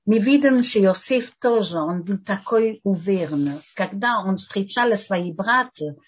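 An older woman gives a religious lesson in a learned language, and her first language is French. Her voice is husky, and she halts often to hunt for the right word. The recording sounds dull and lo-fi. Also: medium pitch, lively intonation. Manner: halting, searching for words in a learned language. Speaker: religious teacher.

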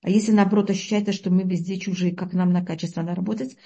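A woman from Uzbekistan teaching a religious lesson, explaining slowly, searching for words and asking her listeners questions. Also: on the low side, fairly even intonation, vocal fry, mellow, slightly rough, dull audio.

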